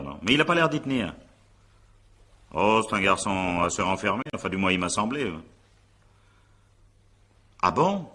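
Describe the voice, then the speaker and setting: An examining magistrate in a radio drama, with a very low, bright and forceful voice. He questions in a brisk, authoritative way, impatient and dryly sardonic, with a husky edge. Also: full character voice. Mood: deferential, businesslike. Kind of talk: French radio drama acting.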